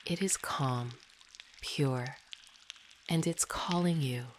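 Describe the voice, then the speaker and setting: Low, very slow woman guiding meditation. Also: a little hiss or hum. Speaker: guided meditation narrator. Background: dripping, pouring water sounds.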